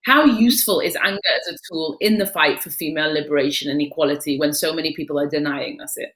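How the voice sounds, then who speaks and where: A woman's voice: sits low, fast talker, a bit bright, poor audio. A woman moderating a festival panel, putting questions hesitantly with many ums and restarts.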